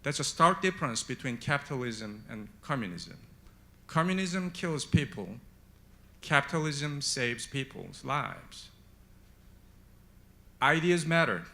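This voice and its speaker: A man's voice: medium pitch, bright, forceful tone. A man from Korea, speaking at a conference panel in an emphatic way, with rhetorical questions. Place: conference hall on stage.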